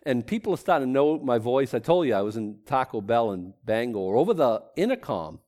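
A man's voice: mid-range voice, very animated, brisk, darkish tone. A middle-aged man with a Boston accent teaching a sermon in a rambling, self-deprecating way, full of restarts. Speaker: pastor. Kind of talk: conversational Bible teaching sermon.

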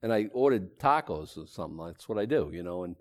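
A man's voice: low voice, expressive, fast talker. A middle-aged man with a Boston accent teaching a sermon in a rambling, self-deprecating way, full of restarts. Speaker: pastor. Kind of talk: conversational Bible teaching sermon.

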